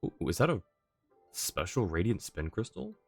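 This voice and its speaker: Low-pitched casual game streamer. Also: very animated, moderate pace, creaky, faint music behind. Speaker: livestreamer.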